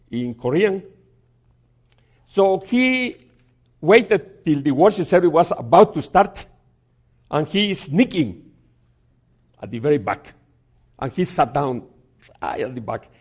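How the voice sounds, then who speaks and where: A man giving a sermon as a guest preacher in a church, telling a story in a halting way with many restarts and some gentle humor. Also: on the high side.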